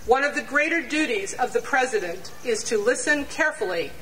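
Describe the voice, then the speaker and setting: An older woman reading a prepared statement aloud to a rally on an outdoor lawn, measured and deliberate, sometimes stumbling and restarting over numbers. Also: on the high side, animated, fairly bright tone. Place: outdoor campus lawn before a large crowd. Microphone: standing mic on stand, picked up from a distance. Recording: distant outdoor pickup with crowd noise.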